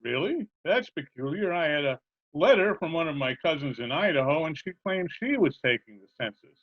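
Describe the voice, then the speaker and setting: Muffled, animated man playing a scatterbrained chatty fellow. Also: a little high, brisk. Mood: cheerfully eager. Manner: chatty, earnest, politely literal-minded. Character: genial scatterbrained male butcher who muddles every question. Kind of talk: old-time radio comedy acting. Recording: dull remote video-call recording.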